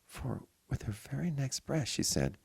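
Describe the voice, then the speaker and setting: A man reading a literary story aloud with theatrical, deliberate phrasing. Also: medium pitch, sing-song, creaky, darkish tone, breathy edge, dry recording. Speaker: audiobook reader. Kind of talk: dramatic literary story narration.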